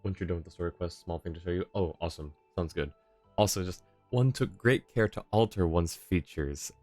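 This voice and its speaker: Low-voiced man streaming a game. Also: brisk, darkish tone, no echo, soft background music. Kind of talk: casual live stream commentary.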